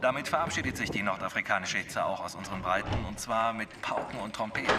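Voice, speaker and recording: An actor playing a chummy, breezy radio presenter doing casual weather patter in a radio drama. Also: male voice, on the low side, fast, close to an everyday voice. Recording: distant radio behind loud noise.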